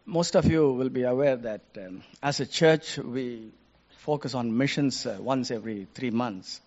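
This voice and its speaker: A man's voice: medium pitch, unhurried, a little mellow, lo-fi sound. Hesitant man introducing a guest speaker. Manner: hesitant, many uhs. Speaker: mission group chair introducing a guest. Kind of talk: church announcement.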